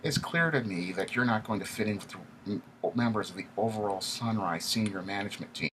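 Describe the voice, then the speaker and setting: A man's voice: on the low side, fairly quick, fairly bright tone, a little hiss or hum, pitched above the performer's own voice, lightly acted, poor audio. A man voicing an arrogant corporate vice president with a twangy, nasal voice and a condescending, jargon-heavy way of talking. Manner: arrogant, condescending, uses big words and jargon.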